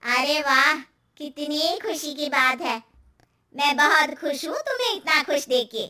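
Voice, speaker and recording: Woman doing a high, emphatic superstitious auntie. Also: lively intonation, unhurried, sharp tone, full character voice. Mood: warning. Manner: emphatic, warning. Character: nosy, cautioning middle-aged neighbourhood auntie, superstitious. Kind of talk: cartoon moral story acting.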